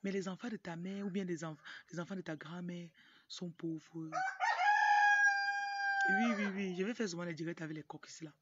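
A woman's voice: fairly low pitch, fairly even intonation, moderate pace. A young woman with a Cameroonian French accent gives an informal motivational talk to camera, often breaking off into asides. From Cameroon, grew up in Tonga. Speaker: motivational speaker, livestream host. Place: outdoors in a yard. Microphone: phone at arm's length. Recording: muffled, noisy outdoor phone recording. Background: rooster crowing, background noise.

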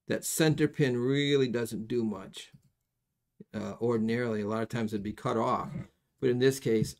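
A middle-aged man giving a casual hands-on soldering tutorial, folksy and halting as he thinks aloud. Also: mid-range voice, vocal fry, a little mellow. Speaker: electronics soldering instructor. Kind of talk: hands-on tutorial, explaining casually while working. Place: indoors at a workbench.

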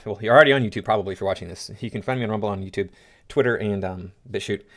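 A middle-aged American man giving casual, indignant opinion commentary as a channel host, often halting and repeating himself. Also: fairly low pitch, a bit bright.

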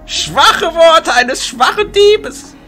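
A man's voice: very high voice, expressive, drawn-out words, bright, forceful tone, soft background music, exaggerated voice. A man playing a spiteful, arrogant teenage boy wizard in a fantasy audio drama, mocking and contemptuous.

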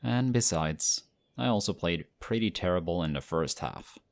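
A man voicing a friendly, modest young male team captain in an audio roleplay, talking in a relaxed, chatty way. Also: deep voice, moderate pace, vocal fry. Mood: excited, friendly.

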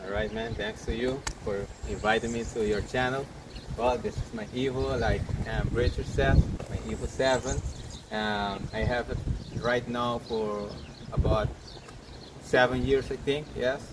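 Young male car owner, hesitant casual answers, medium pitch, far from the mic in clear outdoor noise. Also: unhurried. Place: outdoors in a paved lot. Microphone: camera some metres away. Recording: distant mic, noisy outdoor lot.

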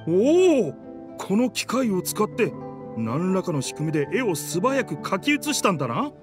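Game role: proud living pirate ship, hearty and theatrical, high-pitched with big swings, faint music. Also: male voice, sing-song, moderate pace. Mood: amazed. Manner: boastful, theatrical, rough masculine speech. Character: talking pirate ship, proud boastful male, hearty and dense. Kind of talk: Japanese game dub dialogue. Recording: music under the dialogue.

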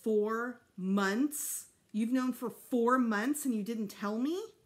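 A woman's voice: a little high, takes it slowly, voice pushed up. A woman voicing an indignant, sulky fifth-grade boy from a storybook in a protesting, exclamatory way. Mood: incredulous.

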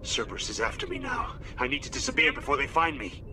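An urgent, hunted navy admiral. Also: male voice, medium pitch, sing-song, quick pace. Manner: tense, urgent and hushed. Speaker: military officer giving a recorded warning. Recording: noisy game audio with background ambience.

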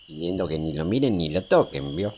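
A man's voice: low voice, lively intonation, mellow, a little hiss or hum. An actor playing an easygoing, teasing small-town railway man in a radio drama, folksy. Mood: reassuring. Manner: folksy, unhurried, conversational.

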